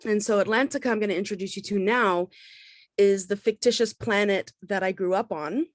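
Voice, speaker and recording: A woman's voice: mid-range voice, brisk, no echo, studio quality. A woman from the Bahamas giving an informal artist talk in a conversational, explanatory way.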